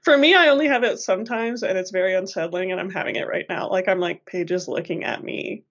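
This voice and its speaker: A woman's voice: medium pitch, fast talker, vocal fry, fairly bright tone, lo-fi sound. Playful slangy woman podcast co-host. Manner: playful, laughs often, slangy. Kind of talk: casual chat.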